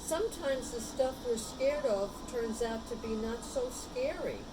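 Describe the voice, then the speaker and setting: A kind male dog owner in a picture book, voiced by a woman reader, speaks in a raised, coaxing voice. He gives reassuring advice, with clear noise in the background. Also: a little high, expressive, measured pace. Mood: encouraging.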